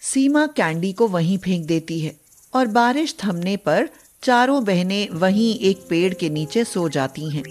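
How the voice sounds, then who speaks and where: A woman narrating a scripted children's moral story as a storyteller. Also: mid-range voice.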